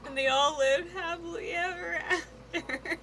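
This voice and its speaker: A woman's voice: very high-pitched, fairly even intonation, unhurried, pushed and bright, a little hiss or hum. A woman giving casual reactions and commentary as she plays a video game, with the game's audio behind her. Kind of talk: casual let's-play commentary. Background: game story and music.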